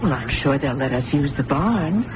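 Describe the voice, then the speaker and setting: A woman's voice: very deep voice, animated, normal speed. A woman playing an earnest young blind woman with second sight in a radio drama. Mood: earnest, wondering. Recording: noisy old radio broadcast recording.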